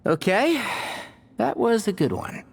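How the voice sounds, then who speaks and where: A man's voice: high voice, big pitch swings, slow, vocal fry, husky, full character voice. A man playing a dry, skeptical and clever young scholar in a video game, with wry delivery. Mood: conceding. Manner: wry, sarcastic. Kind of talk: scripted video game dialogue.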